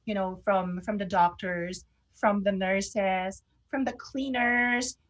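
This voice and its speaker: A woman's voice: medium pitch, fairly even intonation, takes it slowly, dull audio. A woman co-hosting an online radio show in a casual, chatty style. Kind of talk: casual chat.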